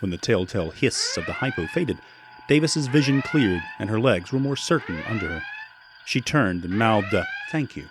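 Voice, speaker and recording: A man's voice: mid-range voice, wildly swooping pitch, moderate pace, background noise. A man narrating a scripted science fiction story in a dramatic storytelling style. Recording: loud music bed behind narration.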